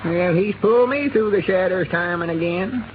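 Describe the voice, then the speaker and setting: A man's voice: sits high, moderate pace, slight background noise, close to an everyday voice. Man acting an old country codger, muffled radio recording. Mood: earnest. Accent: rural Southern US, Arkansas. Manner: folksy, plain-spoken rustic drawl. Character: kindly old country codger, earnest and folksy. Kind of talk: 1930s radio drama acting.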